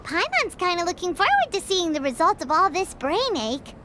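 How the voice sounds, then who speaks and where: A woman voicing a small, excitable, blunt fairy companion in a video game who talks about herself in the third person. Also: extremely high pitch, normal speed, background noise. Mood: eager. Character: tiny excitable floating fairy companion, female.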